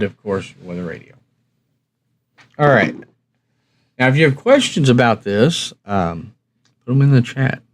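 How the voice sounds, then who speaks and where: Low-pitched man, casual, folksy explainer. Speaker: host of a radio review channel. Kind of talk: casual instructional chat to viewers.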